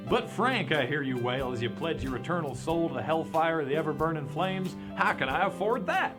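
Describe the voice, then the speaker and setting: A folksy cowboy pitchman, played by a man, pitched a little high, over prominent plucked guitar. He delivers a cheerful hard sell in the manner of a parody radio commercial. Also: expressive, brisk, close to an everyday voice. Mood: folksy salesmanship. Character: folksy cowboy pitchman hawking evil gods, cheerfully salesy. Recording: guitar music behind the voice.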